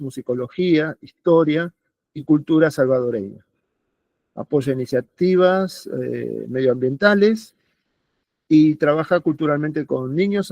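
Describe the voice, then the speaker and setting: A man's voice: fairly high pitch, a little mellow, no echo. A man hosting an online talk series, introducing a guest with hesitant speech and many fillers.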